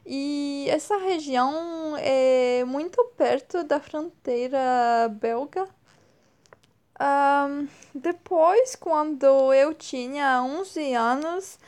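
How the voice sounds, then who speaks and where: A woman in her twenties from France with a French accent, speaking slowly and hesitantly as an interview guest, often pausing to search for words. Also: high voice, a little mellow. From northern France. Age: 20s. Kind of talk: slow, careful speech with many pauses and fillers.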